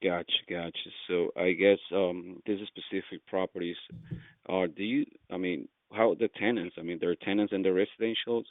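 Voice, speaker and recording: A man's voice: low voice, steady tone, moderate pace, no echo. A man in his late twenties, a wholesaler on a cold call, friendly and hesitant, with lots of fillers. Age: 20s. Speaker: real estate wholesaler cold calling. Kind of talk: casual phone conversation. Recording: tinny phone line.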